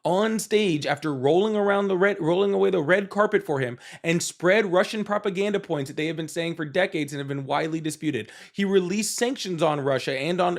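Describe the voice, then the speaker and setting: A man hosting a live political call-in show, pressing a caller with rapid, interrupting questions in a heated debate. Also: on the high side.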